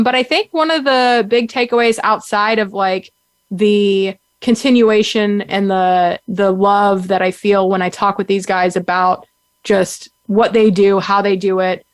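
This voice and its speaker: A woman hosting a podcast in a loose, chatty way that keeps circling back with fillers and restarts. Her voice sits at a middle pitch and is recorded close and dry, with no room sound around it. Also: dry recording. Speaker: podcast host. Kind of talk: casual co-host chat.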